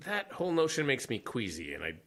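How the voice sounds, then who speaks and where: A man's voice: mid-range voice, quick pace. An actor playing a skeptical former lawman in an audio drama, ironic and bookish. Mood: wry. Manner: ironic, lively, quotes Shakespeare.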